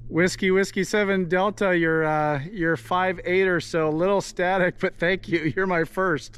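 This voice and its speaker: A man's voice: high-pitched, fairly even intonation, unhurried, a bit bright, slight background noise. A man speaking as an amateur radio operator out on a summit, appreciative, in casual talk and phonetic-alphabet callsigns. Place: outdoors on a forested summit.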